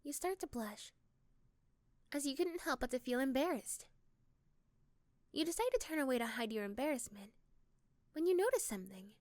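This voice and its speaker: A woman's voice: high-pitched, lively intonation, moderate pace, pauses often, dry recording. A woman narrating a second-person ASMR story, recorded close to the microphone. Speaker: narrator and voice performer.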